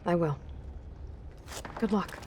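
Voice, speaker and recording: A steady young huntress. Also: female voice, lowish voice, slow pace, muffled recording, slight background noise. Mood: calm. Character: young woman hunter, steady and resolute. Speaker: protagonist. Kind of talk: video game dialogue.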